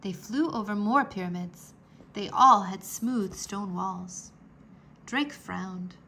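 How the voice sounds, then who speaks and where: A woman narrating a children's storybook aloud in a deliberate, dramatized way. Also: mid-range voice, creaky, sharp tone, a little hiss or hum.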